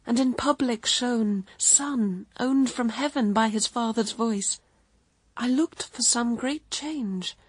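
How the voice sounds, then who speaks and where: A woman's voice: fairly high pitch, slow, breathy edge, no room sound. A woman playing a sorrowful, anxious, dignified mother who sighs through solemn verse in a radio drama. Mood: wistful, troubled. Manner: sighing, contemplative, solemn.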